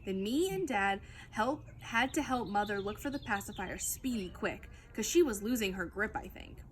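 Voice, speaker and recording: A woman reading a children's book aloud as a storytime reader, in an expressive, emphatic storytelling manner. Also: medium pitch, moderate pace, a little hiss or hum.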